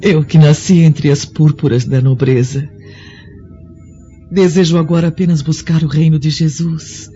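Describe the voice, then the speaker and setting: A woman's voice: low voice, fairly even intonation. A devout Roman noblewoman. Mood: resolute. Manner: slow, solemn and tender. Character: devout noble Roman woman prisoner, gentle and humble. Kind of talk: radio drama acting. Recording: dull lo-fi recording with music. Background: soft music score.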